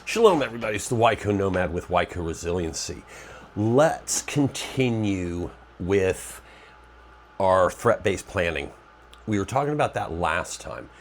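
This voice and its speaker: A man with a very deep voice, nasal and stuffed up from a cold, talks through his preparedness channel in a loose, rambling way. He keeps stopping to correct himself. A faint hiss sits under the recording. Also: lively intonation, measured pace. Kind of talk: casual talk to camera.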